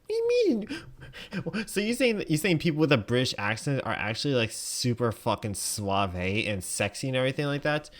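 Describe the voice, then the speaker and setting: A man's voice: mid-range voice, huge pitch swings, normal speed. A man streaming a game, rambling and full of fillers as he comments. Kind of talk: gaming commentary.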